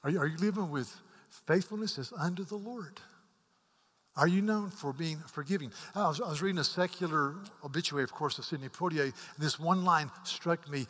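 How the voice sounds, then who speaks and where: A man's voice: on the high side, brisk. Earnest preacher man in a reverberant hall. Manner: earnest, repeats words, rises to emphatic peaks and drops to hushed asides. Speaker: pastor. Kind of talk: sermon.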